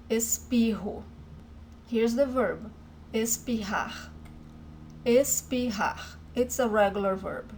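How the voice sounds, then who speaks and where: A woman teaching Portuguese in a lesson, saying each word and phrase clearly and repeating it, with a Brazilian accent. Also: fairly high pitch, a little mellow, slight background noise.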